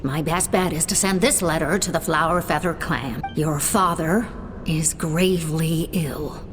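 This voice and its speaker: An actress playing a sorrowful stepmother voicing her letter in a video game, gently and earnestly pleading. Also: deep voice, measured pace. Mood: sad, pleading. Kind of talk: dramatic letter voice-over in a game. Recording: long reverb with music behind.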